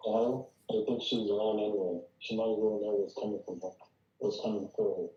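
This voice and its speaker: Man as candid covert-agency boss, table-read drama, dark even delivery, dull roomy sound. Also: on the low side, a little fast, lightly acted. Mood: dry. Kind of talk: screenplay table read acting. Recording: muffled, echoey room recording.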